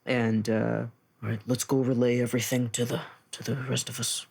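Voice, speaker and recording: A man's voice: mid-range voice, flat delivery, moderate pace, vocal fry, a little mellow, husky. A man improvising as a player on a tabletop role-play podcast, talking earnestly and considerately.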